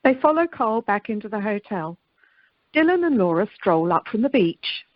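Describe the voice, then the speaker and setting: A woman's voice: mid-range voice, big pitch swings, moderate pace, dry recording, dull audio. A woman narrating a radio play from a script.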